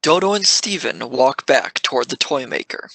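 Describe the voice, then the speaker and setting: A man's voice: medium pitch, animated, moderate pace, creaky, bright, forceful tone, no room sound. A man reading scripted scene narration for an audio drama.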